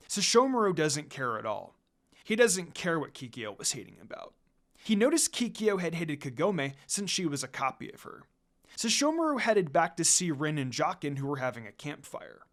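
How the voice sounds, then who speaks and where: Male story narrator, fairly high voice, very varied intonation, dramatic delivery of a written fantasy tale. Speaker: fan fiction reader, narrator.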